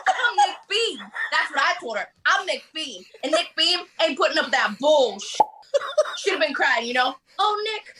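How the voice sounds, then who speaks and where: A woman playing a brash, boastful, streetwise male carjacker in a comic table read, loud, swaggering and profane. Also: very high voice, background noise.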